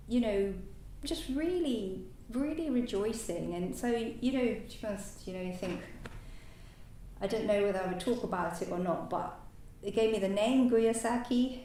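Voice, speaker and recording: Woman, native French speaker, halting reflective talk, distant mic in an echoey room with faint noise. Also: a little high, warm tone. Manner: hesitant, halting, many fillers and restarts. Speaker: new Buddhist order member. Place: meditation centre hall.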